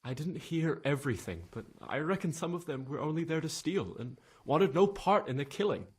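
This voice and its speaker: A man playing a frightened young stable lad in a game cutscene, stammering and halting, with a husky voice. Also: on the high side, animated. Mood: shaken, haunted. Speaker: video game character, witness being questioned. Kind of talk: dramatic game cutscene acting.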